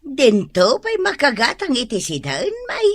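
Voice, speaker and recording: A woman's voice: high-pitched, huge pitch swings, measured pace, husky, no echo, exaggerated voice. An actress playing a scolding older mother of a stingy son in a radio comedy drama, with an Ilocano accent. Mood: resigned complaining.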